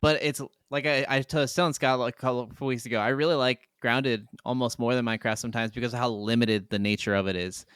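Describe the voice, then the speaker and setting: A man's voice: medium pitch, fairly even intonation, fast talker, hardly any pauses, fairly bright tone, smooth, dry recording. A man co-hosting a gaming podcast in casual chat, enthusiastic and free with slang and swearing.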